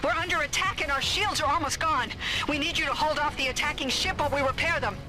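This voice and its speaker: An embattled crewman in an animated game. His voice is very high for a male, urgent and clipped. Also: quick pace, poor audio. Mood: alarmed. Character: harried male crew member calling for backup. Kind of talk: animated video game character acting.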